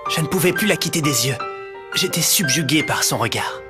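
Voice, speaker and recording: A man's voice: on the high side, animated, fairly quick, music in the background, natural voice. A young man voicing a polite, smitten student who also narrates, in an amateur cartoon dub. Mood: dreamy. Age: young adult. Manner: polite, earnest.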